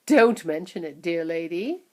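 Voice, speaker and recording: A woman's voice: fairly low pitch, lively intonation, a little slow. A woman doing a breezy eccentric candy-maker. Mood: whimsical. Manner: breezy, whimsical. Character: eccentric cheerful candy-maker, older man.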